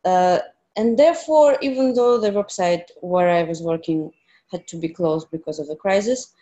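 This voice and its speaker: Hesitant woman from Russia, low voice. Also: moderate pace, warm tone, muffled recording. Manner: hesitant, many fillers, non-native phrasing. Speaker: presenter. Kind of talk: online conference talk.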